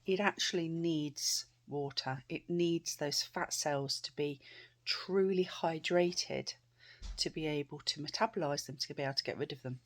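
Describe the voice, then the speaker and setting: A British woman vlogging casually to camera, chatty and rambling, her voice a bit croaky. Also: deep voice, a little slow. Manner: chatty, rambling with many fillers and restarts.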